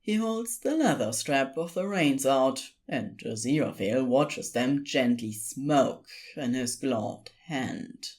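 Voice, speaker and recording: A woman reading scripted story narration in an expressive storytelling style. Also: very deep voice, takes it slowly, few pauses.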